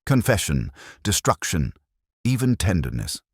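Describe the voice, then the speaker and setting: Really deep male voice reading a detective story, moody and measured, lots of rise and fall. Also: very animated, slightly rough, dry recording, clean studio sound. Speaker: narrator of an audio detective story. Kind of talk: first-person scripted mystery narration.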